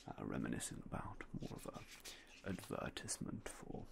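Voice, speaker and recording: A young man whispering softly and politely as a game shop clerk in an ASMR roleplay, close to a binaural mic. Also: sits low, sing-song, normal speed, gravelly, a little hiss or hum. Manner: quiet, whispery, polite shopkeeper patter.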